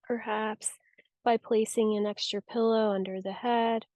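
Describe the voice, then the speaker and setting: A woman guiding a yoga nidra relaxation practice with soothing, unhurried, gentle instruction. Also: a little high, flat delivery, no echo. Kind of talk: guided relaxation meditation.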